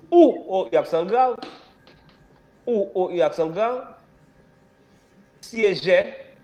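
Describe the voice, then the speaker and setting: A man teaching history in a classroom, hoarse from a cold, dictating slowly, repeating phrases and spelling words out letter by letter. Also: on the high side, darkish tone. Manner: emphatic rising stresses, rhetorical questions.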